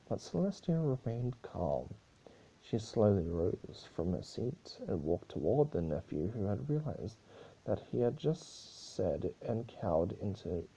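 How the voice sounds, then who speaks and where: Casting: male amateur narrator, very dark tone, lively intonation, dramatic story reading with small stumbles. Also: low-pitched, vocal fry, husky, rough recording. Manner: stumbles over words while reading. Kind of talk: dramatic reading of fan fiction.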